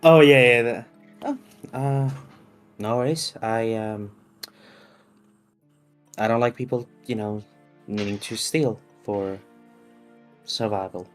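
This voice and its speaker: Male game player, middle pitch, unhurried and hesitant, casual table delivery. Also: soft background music.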